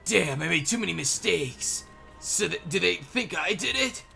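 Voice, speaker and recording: A man's voice: sits high, lively intonation, normal speed, gravelly, sharp tone. A man playing and dubbing a video game in casual group banter, switching between chat and read lines. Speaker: player and fan dubber of a video game. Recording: music playing behind the voice. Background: game music.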